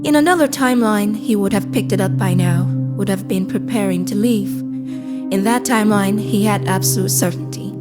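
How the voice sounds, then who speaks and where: Mid-pitched female AI played by a woman, measured, detached, deliberate, audio drama narration, clear cinematic music behind. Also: natural voice. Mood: somber, measured. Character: calm, impassive AI assistant telling a story. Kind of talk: scripted first-person audio drama narration. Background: cinematic score.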